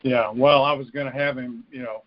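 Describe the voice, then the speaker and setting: A male caller speaks over a dull, dry phone line in a flat, even tone. He phrases his casual questions haltingly, as someone seeking advice. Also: medium pitch, dry recording. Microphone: telephone.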